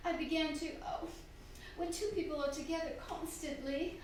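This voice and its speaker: An actress playing a young, unhappily married widow in a stage radio drama, speaking emphatically with dramatic pauses. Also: female voice, high-pitched, steady tone, lightly acted. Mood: distressed, pleading. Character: young unhappy widow, cool and composed, pleading when cornered. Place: theatre stage, live performance. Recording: very echoey hall, distant mic, faint noise.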